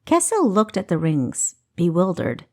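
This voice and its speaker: Female fantasy audiobook narrator, unhurried delivery with lively, varied intonation. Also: lowish voice. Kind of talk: scripted fantasy story narration.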